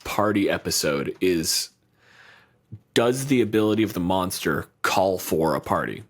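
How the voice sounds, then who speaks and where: A man's voice: low-pitched, lively intonation, unhurried, breathy edge. A man co-hosting a podcast in casual chat, blunt and profane, riffing with lots of energy. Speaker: podcast co-host.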